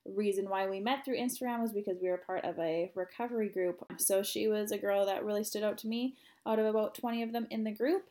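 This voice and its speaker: A woman's voice: medium pitch, steady tone, fast, hardly any pauses, warm tone. A woman hosting a podcast in a bubbly, giggly, upbeat way, chatting casually with her guest. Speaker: podcast host.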